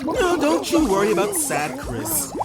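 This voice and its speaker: Woman playing a slow, haughty water enchantress. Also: high voice, very animated, over a noisy crowd. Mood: coolly menacing. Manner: condescending, dismissive, drawling. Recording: loud music behind the voice.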